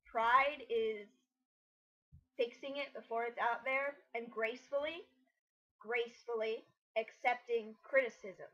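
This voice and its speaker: A woman with a very high, sharp voice delivers casual critique as a co-commentator. She is blunt and exasperated, often lecturing, on a slightly muffled lo-fi recording.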